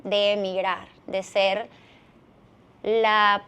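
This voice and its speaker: Venezuelan woman telling her story, mid-pitched. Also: flat delivery, drawn-out words, fairly bright tone, clean tone. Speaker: podcast guest. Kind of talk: conversational personal storytelling.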